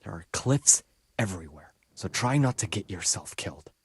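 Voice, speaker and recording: An actor playing a soldier guard who quietly lays out a plan to help a prisoner escape, giving hushed, conspiratorial instructions in a game cutscene. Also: male voice, on the low side, animated, moderate pace, husky, dry recording, full character voice. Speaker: video game character.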